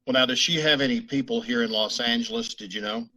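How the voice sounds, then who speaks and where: A man playing a calm, courteous police detective questioning a witness in old-time radio style. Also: mid-range voice, fast, vocal fry, heavily acted, poor audio. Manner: level, courteous, businesslike questioning.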